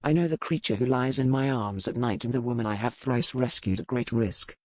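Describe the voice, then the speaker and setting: A hardened pirate lord, acted by a man in an audiobook romance. He speaks formally and with measured dryness at a medium male pitch. The sound is close, with no room around it. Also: dry recording, natural voice. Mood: defiant, dismissive. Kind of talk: audiobook character dialogue.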